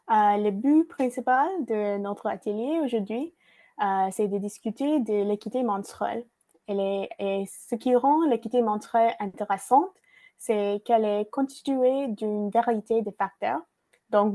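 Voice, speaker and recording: Mellow-voiced woman leading an online workshop. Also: medium pitch. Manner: frequent hesitations and restarts, reading from notes. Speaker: workshop facilitator. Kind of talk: hesitant virtual workshop presentation. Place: online video call.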